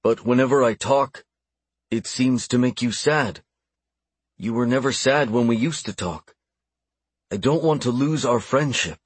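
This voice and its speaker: A man's voice: medium pitch, a little slow, pauses often, husky, no echo. Man playing an earnest, anxious young orc apprentice. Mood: hesitant, sorry. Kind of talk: audiobook character voice.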